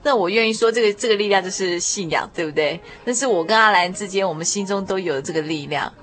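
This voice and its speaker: A woman's voice: medium pitch, quick pace, fairly bright tone. Woman, radio host, conversational, mid-range voice, faint music behind. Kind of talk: conversational interview talk.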